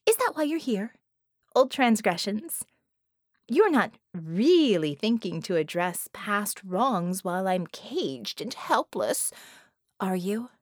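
A woman narrator acts out a cunning, bored villainess in an audiobook. She taunts in formal, theatrical phrases at a slow pace. Her pitch swoops around, and the sound is dry and close. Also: big pitch swings, no echo. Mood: mocking threat. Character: caged villainess, haughty, mocking, cunning and bored.

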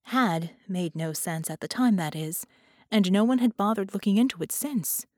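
A woman's voice: medium pitch, moderate pace, darkish tone, no echo, studio quality. A woman reading scripted fiction as an audiobook narrator.

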